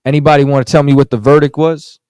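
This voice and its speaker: A man's voice: on the high side, level tone, fast, a little mellow, clear, resonant tone, dry recording. Man, casual podcast guest, rambling filler-heavy talk, dry close mic. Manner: loose, digressive talk full of "you know what I'm saying".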